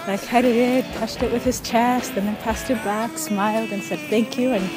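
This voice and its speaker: A woman's voice: fairly high pitch, steady tone, low-quality recording. Thoughtful woman from Ethiopia, music behind. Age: 30s. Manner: thoughtful, unhurried, frequent pauses and soft laughs. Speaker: interviewee, pickup soccer player. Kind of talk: casual interview storytelling.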